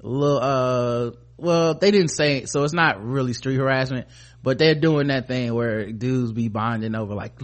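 Man podcasting in loose, profane slang. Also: mid-range voice. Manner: informal slang, profane, rambling. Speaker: podcast host. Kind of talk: casual conversational commentary.

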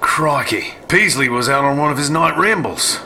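Lively, hearty outback man. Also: on the high side, normal speed, slight background noise, heavily acted. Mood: uneasy. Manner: blunt, colloquial. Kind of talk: radio drama acting.

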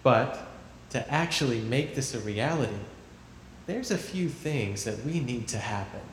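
An actor playing a gentle youth camp preacher giving a measured, folksy sermon in an audio drama. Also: male voice, medium pitch, animated, lightly acted. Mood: earnest preaching. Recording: echoey hall with long reverb, faint noise.

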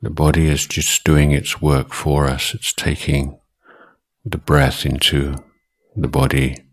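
Male meditation teacher, very deep bass voice, breathy and rough-edged, measured short phrases, long pauses. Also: little pitch movement. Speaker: Buddhist monk and meditation teacher. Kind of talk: guided meditation instruction.

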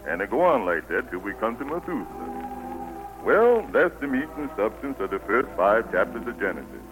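An actor playing a kindly elderly preacher with a Southern US accent, telling stories in a folksy, patient way in 1940s radio style. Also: male voice, lowish voice, sing-song, a little fast, rough recording. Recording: old 1940s radio broadcast, music behind.

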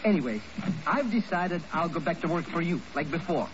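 An actor playing a cheeky, scheming hotel bellboy with a foreign accent and a wheedling, chattering way, in 1950s radio drama. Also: male voice, on the high side, expressive, a little fast, close to an everyday voice. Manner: wheedling, chattering, hesitant with hums. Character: eager, cheeky, scheming hotel bellboy, self-appointed helper. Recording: noisy, muffled old radio recording.

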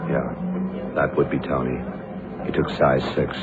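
An actor playing a shrewd, commanding detective in a 1970s radio crime drama, speaking tersely and deliberately. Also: male voice, a bass voice, flat delivery, music in the background. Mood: focused. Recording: noisy, tinny old radio broadcast.

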